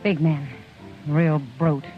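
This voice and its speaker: A woman's voice: sits low, flat delivery, slow. Plain-spoken, caring frontier woman, direct delivery, muffled 1950s radio drama sound, faint music. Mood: concerned. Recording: muffled old radio recording.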